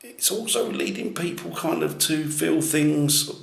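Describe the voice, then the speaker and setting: A man with a loud, booming voice, musing skeptically while ghost hunting in a large building at night. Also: steady tone, unhurried, gravelly. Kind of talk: casual on-location chat. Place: large echoey building, a former jail, at night. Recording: echoey room with faint noise.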